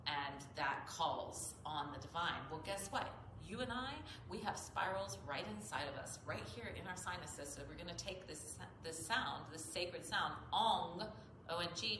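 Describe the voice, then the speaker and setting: A woman with a low, slightly nasal voice teaches a yoga class, earnest and searching for words. She is recorded in an echoey studio with steady noise behind her. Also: moderate pace. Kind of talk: spoken yoga instruction.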